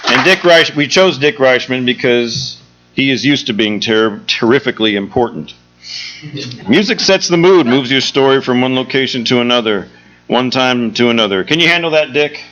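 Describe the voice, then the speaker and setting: A man's voice: medium pitch, animated, moderate pace. A man speaking as a theatre director and host, giving an informal, joking welcome speech to a live audience. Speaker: theatre executive director hosting a show. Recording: dull lo-fi live recording, music behind.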